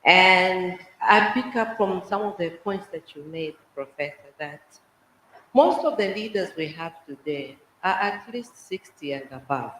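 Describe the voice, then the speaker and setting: Soft-spoken woman in the audience, earnest and measured, recorded in a roomy hall. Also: on the low side, animated, slight background noise. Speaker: audience member asking a question. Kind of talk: audience comment at a conference. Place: conference hall.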